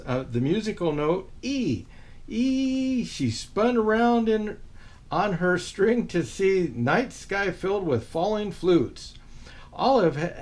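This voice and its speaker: Guy reading a kids picture book, expressive, takes his time, slightly high voice that swoops around a lot. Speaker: storyteller. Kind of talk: children's picture book read-aloud.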